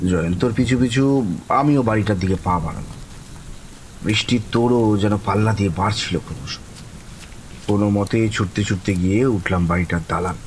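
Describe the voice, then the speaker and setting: A man playing a mild, easygoing storyteller who recounts his own eerie night in measured, rueful audio drama narration. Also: fairly low pitch, a little hiss or hum, natural voice, poor audio. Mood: suspenseful. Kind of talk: first-person horror audio drama narration. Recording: rain ambience behind voice. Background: rain.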